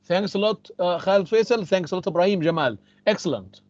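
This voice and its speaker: A man's voice: high voice, lively intonation, a little mellow, no room sound. Man teaching English, dry sound. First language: Arabic. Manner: repeats model phrases slowly and clearly. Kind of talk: classroom lecture with repetition drills. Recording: dry, muffled lo-fi recording.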